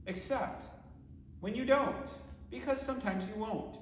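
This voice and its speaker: A man, a pastor, reading a children's book aloud in rhyming verse with expressive, theatrical, deliberate phrasing. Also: high-pitched, a little hiss or hum. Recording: echoey room with long reverb.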